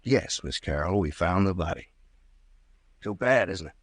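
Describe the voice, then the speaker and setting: Old radio police captain: low voice, dry acoustic, stern, sardonic, relentless interrogator. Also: male voice, expressive, normal speed, breathy edge, dry recording, exaggerated voice. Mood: brisk, sardonic. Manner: pressing, sardonic questioning. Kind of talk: old-time radio drama acting.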